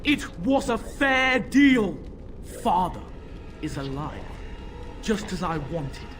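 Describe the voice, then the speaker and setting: A woman's voice: on the low side, very animated, slow pace, slight background noise. A woman voicing a young boy possessed by a demon in a video game, cruel, mocking and imperious, with music behind. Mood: gloating.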